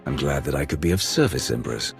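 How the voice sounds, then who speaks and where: Very deep, gravelly commander. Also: male voice, expressive, fast talker, exaggerated voice. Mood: courteous. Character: dutiful, forthright male alliance commander, heroic warrior. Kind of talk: dramatic video game acting. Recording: loud game music behind.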